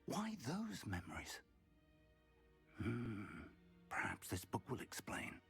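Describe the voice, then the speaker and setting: A man's voice: medium pitch, wildly swooping pitch, slow pace, creaky, a bit bright, faint music behind, heavily acted. A man playing a wise wizard mentor in a fantasy video game, thoughtful and encouraging in manner. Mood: pensive. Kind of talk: video game character acting.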